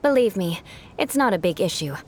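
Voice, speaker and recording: A woman voicing a proud young aristocratic knight, formal and measured, in a video game. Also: medium pitch, animated, slight background noise, lightly acted. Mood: calm, resigned. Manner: haughty, formal, measured phrasing.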